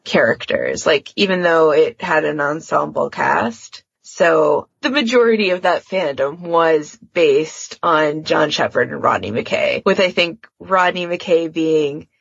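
Gravelly, husky woman chatting on a podcast. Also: low voice, expressive, measured pace, hardly any pauses, dry recording, highs cut off. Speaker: podcast host. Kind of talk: casual fandom podcast discussion.

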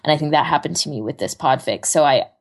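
A woman's voice: low voice, quick pace. A woman co-hosting a podcast, talking in an informal, conversational and agreeable way. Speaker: podcast co-host. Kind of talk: casual podcast chat.

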